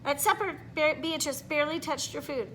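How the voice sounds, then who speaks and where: High, bright-voiced woman reading a storybook. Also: a little hiss or hum, low-quality recording. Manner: animated, pauses to ask listeners questions. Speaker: read-aloud storyteller. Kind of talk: expressive children's picture book reading.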